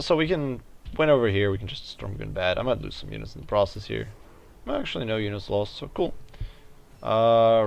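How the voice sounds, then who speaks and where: A man giving casual commentary over a strategy game, thinking out loud in a hesitant, halting way. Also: on the low side, gravelly, muffled recording. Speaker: gaming video host.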